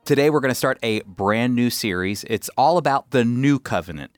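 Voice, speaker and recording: A man's voice: animated, moderate pace, no room sound, soft background music. A man hosting a radio program and teaching the Bible in an earnest, emphatic way, lifting his voice on key points. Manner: earnest, emphatic, with pitch climbing on key phrases.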